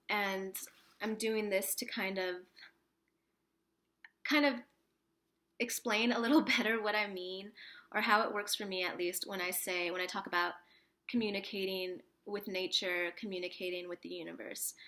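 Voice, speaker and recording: A woman with a cold, sniffly and a little rough, chatting casually to camera as a spiritual vlogger, rambling with lots of fillers and restarts. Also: mid-range voice, steady tone, measured pace, sharp tone.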